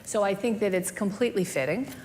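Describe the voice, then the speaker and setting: A woman leads a stage interview in a chatty, lively way, tossing in quick asides. Her voice sits in a middle pitch. A faint hiss of noise lies under the recording.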